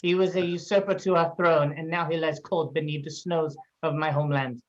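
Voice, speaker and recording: Woman doing a Norwegian-accented archer, terse, cold, boastful, lowish voice, dull lo-fi mic. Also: fairly even intonation, a little fast, lightly acted. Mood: grim. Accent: put-on Norwegian accent. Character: grim woman archer from a northern land. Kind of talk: in-character roleplay. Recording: dull lo-fi mic with faint noise.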